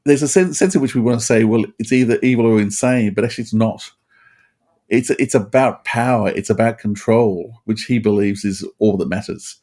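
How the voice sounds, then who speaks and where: A man's voice: fairly low pitch, a little fast, darkish tone. A man musing earnestly in a podcast discussion, thinking aloud in a reflective way. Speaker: podcast panelist.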